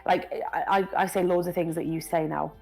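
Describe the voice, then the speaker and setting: Welsh woman with a lowish voice. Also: little pitch movement, creaky, warm tone, faint music behind. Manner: halting, restarts, laughs easily. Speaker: co-host. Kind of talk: casual chat on a live stream.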